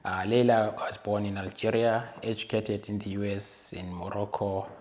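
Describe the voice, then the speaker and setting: A man's voice: lowish voice, flat delivery, moderate pace, darkish tone, slight background noise. A man hosting a podcast, welcoming his guest and asking questions with many hesitations and restarts. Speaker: podcast host. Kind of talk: interview hosting.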